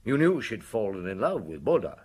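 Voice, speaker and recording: A husky, low-voiced police detective. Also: male voice, very animated, fairly quick, darkish tone. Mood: firm, explaining. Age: middle-aged. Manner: blunt, interrogating, scornful. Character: shrewd, weary, stern male police detective chief. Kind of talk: radio drama acting.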